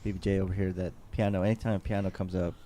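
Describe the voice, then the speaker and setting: A man on a music panel, relaxed and agreeable in casual critique. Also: low-pitched, fast talker, slight background noise.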